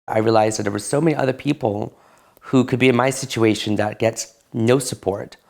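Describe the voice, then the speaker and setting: A man's voice: medium pitch, gravelly, darkish tone. A man, telling his personal story in an interview, candid and reflective. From Barbados. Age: late 30s.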